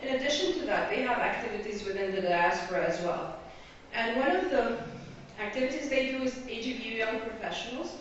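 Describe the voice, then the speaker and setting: A woman's voice: on the high side, a little fast, a little hiss or hum. An Egyptian woman giving an academic presentation as a graduate student, explaining her points and checking in with her listeners. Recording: echoey hall, distant lo-fi mic.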